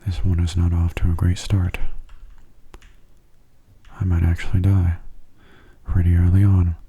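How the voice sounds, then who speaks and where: A man giving soft-spoken ASMR commentary on a game in a gentle, unhurried, casual way, his hushed voice often slipping into whispers. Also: sits low, completely flat delivery, breathy edge. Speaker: gameplay video host.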